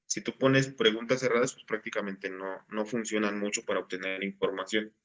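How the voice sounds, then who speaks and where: A man's voice: fairly low pitch, steady tone, brisk, a bit bright. A man, patiently explaining assignments to his class over a video call. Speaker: teacher. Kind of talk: online class instruction.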